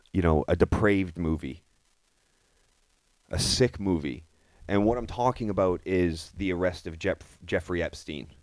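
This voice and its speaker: A man hosts a current-affairs podcast in a low, deep voice. He sounds indignant and emphatic, repeating phrases to drive his point home. The sound is dry and close, with no room echo. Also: a little mellow. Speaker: podcast host. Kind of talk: opinionated current-affairs commentary.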